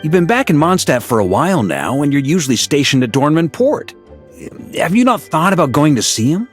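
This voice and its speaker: An actor playing a weary middle-aged knight commander in a video game, who sighs in exasperation, teases dryly and gives gruff orders. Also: male voice, fairly high pitch, very animated, a little fast. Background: soft game music.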